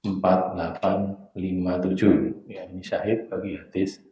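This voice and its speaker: Hoarse man, deep voice, measured teacher, dull lo-fi recording. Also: flat delivery, warm tone. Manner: explains narrator chains in measured, teacherly asides. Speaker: hadith teacher. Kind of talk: religious study lesson. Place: mosque study room. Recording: echoey room, dull lo-fi sound.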